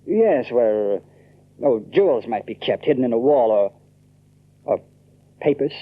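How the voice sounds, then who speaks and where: An actor playing an anxious, formal colonial gentleman heir who speaks haltingly in archaic language, in an audio drama. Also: mid-range voice, very animated, narrow, tinny audio. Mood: nervous, probing. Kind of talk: audiobook radio drama acting.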